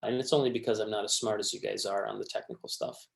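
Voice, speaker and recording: A man's voice: fairly low pitch, fairly even intonation, fast talker, creaky, roomy sound. A man who was raised in Michigan, a recruiter giving a friendly, casual resume workshop to students, with many fillers and restarts. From born in Romania, raised in Michigan.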